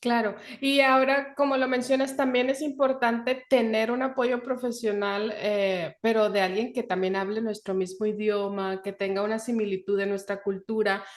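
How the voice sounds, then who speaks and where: A woman's voice: fairly high pitch, steady tone, normal speed, hardly any pauses. A woman hosting an interview, agreeing conversationally and asking follow-up questions. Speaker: host. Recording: echoey room.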